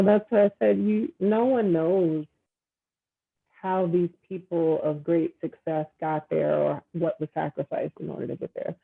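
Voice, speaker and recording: A woman's voice: low-pitched, gravelly. Earnest woman on a tinny video call. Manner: earnest, reflective, many fillers. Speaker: dating guest. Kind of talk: casual video-call conversation. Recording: tinny phone-like line.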